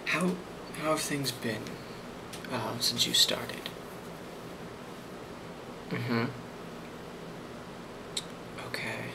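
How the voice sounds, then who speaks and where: A man speaking softly in an ASMR role play as a boss, hesitant and reassuring, with frequent pauses. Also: medium pitch, background noise. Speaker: ASMR role-player playing a boss giving a review.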